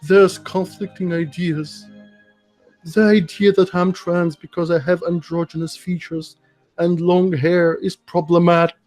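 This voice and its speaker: High-pitched man reading theatrically over music. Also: level tone, unhurried, a little mellow. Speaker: streamer reading a social media thread aloud. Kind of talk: mock-dramatic reading with amused asides. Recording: music playing behind the voice. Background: background music.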